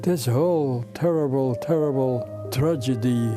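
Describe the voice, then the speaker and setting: Very old Ukrainian-accented man, halting English. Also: mid-range voice. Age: over 90. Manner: halting, repetitive broken English. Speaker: interviewee, Holocaust survivor. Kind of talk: personal recollection. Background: instrumental music.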